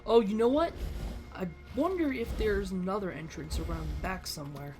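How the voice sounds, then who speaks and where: Low-key woman gamer over faint music. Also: normal speed, darkish tone. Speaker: gamer narrating a playthrough. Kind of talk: casual let's play commentary. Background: faint game music.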